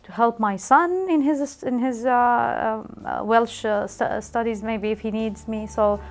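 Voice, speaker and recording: A woman's voice: on the high side, unhurried. Hesitant woman in her forties. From Iraq. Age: 40s. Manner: hesitant, many fillers. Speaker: learner.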